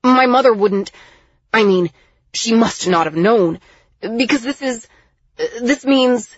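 A woman reader acts out a wounded young highborn man in an audiobook. His speech is halting and defensive, with a husky edge. The sound is a bit muffled. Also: no echo. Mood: shocked denial. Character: stammering, wounded young man of noble birth.

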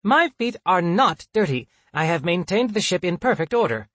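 A man's voice: high-pitched, big pitch swings, normal speed, a bit bright, no echo, voice pushed up, exaggerated voice. A man voicing a fussy, chirpy, self-satisfied robot drone with prim, formal manners, as in an audiobook. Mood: eager, prim. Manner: prim, formal, mock-polite phrasing.

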